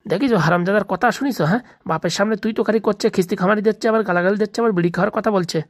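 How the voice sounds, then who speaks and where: A woman's voice: mid-range voice, rapid-fire, no room sound, close to an everyday voice. An exasperated village dad from a comedy cartoon, acted by a woman, talks at breakneck speed. He scolds and haggles coarsely, in a very dry, close sound. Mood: angry, indignant. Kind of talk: comic cartoon dialogue.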